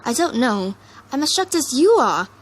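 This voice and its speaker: A woman's voice: high-pitched, big pitch swings, normal speed, breathy edge, voice pushed up, heavily acted. A woman voicing an earnest, kind female alicorn pony who leads and reasons things out. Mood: puzzled.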